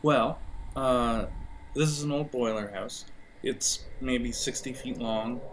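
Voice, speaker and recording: A man running a tabletop game as game master, improvising scene descriptions in a hesitant, halting way. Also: mid-range voice, slight background noise, low-quality recording.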